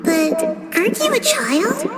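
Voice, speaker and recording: A tender, childlike goddess coaxes in a soft, very high voice that rises and falls. She speaks slowly and gently. Game music plays beneath her. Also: sing-song, heavy background noise, exaggerated voice. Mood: gentle. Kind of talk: video game voice acting.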